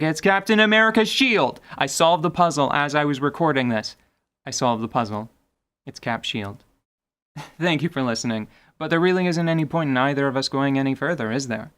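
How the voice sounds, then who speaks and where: A man with a voice a little higher than most hosts a podcast about tabletop gaming. His commentary sounds partly scripted, with articulate, wordy phrasing. He slips in wry, playful asides as he goes. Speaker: podcast host.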